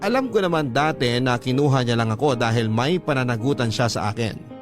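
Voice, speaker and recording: A man's voice: mid-range voice, lively intonation, brisk. A man with a Filipino accent reading a scripted first-person letter as a radio drama narrator. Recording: music under the narration.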